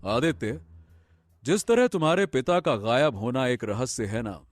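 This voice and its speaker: A man playing an earnest young prince in a cartoon story, speaking theatrically with drawn-out pauses and emphatic repetition. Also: medium pitch, sing-song, no echo. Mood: wistful. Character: young prince, earnest, brooding, grandly expressive. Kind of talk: Hindi animated moral story dialogue.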